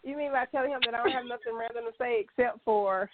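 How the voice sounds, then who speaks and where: A woman's voice: on the high side, quick pace, background noise. A woman co-hosting a casual podcast, congested from a cold, ranting with dramatic mock outrage. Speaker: co-host. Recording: dry phone-line sound.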